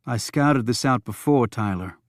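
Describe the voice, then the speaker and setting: A man's voice: medium pitch, moderate pace, no echo, studio quality, lightly acted. A man voicing a cautious, matter-of-fact criminal in his thirties in a thriller audiobook. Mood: businesslike. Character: stocky, businesslike criminal in his early thirties.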